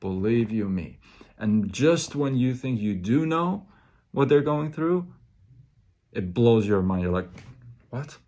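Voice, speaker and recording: A man's voice: mid-range voice, animated, slow, pauses often, mellow. A man hosting a motivational live show, speaking emphatically with repeated phrases and an occasional stutter, greeting viewers by name. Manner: stutters at times.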